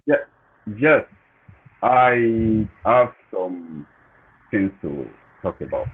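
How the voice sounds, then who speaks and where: A Nigerian man with a Nigerian accent, who grew up speaking Yoruba, comes through a thin, phone-like line. His voice is very low and husky, and he speaks slowly with halting pauses. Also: lively intonation. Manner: slow and halting. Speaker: panel guest. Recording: tinny phone-like call line.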